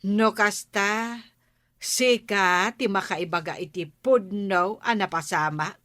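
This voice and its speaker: Bright-voiced, chatty village woman. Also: mid-range voice, slow pace, dry recording, studio quality. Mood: curious, insistent. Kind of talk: Ilocano radio comedy drama acting.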